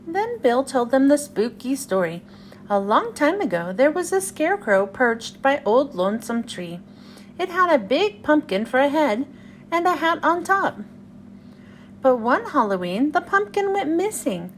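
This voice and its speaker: A woman's voice: high-pitched, very animated, a little slow, a little hiss or hum. A woman hosting a read-aloud and reading a children's storybook to young listeners.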